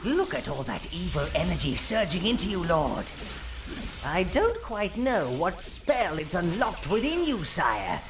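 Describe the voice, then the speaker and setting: An actor playing an elderly, servile minion adviser in a video game, dryly sinister and theatrical. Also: male voice, high-pitched, huge pitch swings, unhurried, noisy background, heavily acted. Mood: gleeful, scheming. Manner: obsequious, theatrical, dry understatement. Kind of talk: scripted video game character acting.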